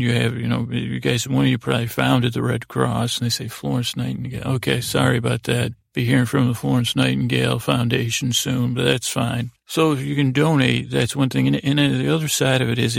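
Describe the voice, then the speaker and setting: A man with a heavily creaky, gravelly voice talks in an even, narrow range, close and dry. He hosts a podcast off the cuff, casually wandering through halting sentences that he often restarts halfway. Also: medium pitch, no room sound. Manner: halting, rambling, often doubling back mid-sentence. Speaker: podcast host. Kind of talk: casual, unscripted podcast intro monologue.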